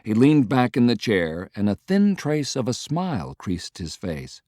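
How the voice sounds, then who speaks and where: A man's voice: mid-range voice, sing-song, measured pace, dark tone, no echo. A man reading a historical novel as scripted, dramatic audiobook narration.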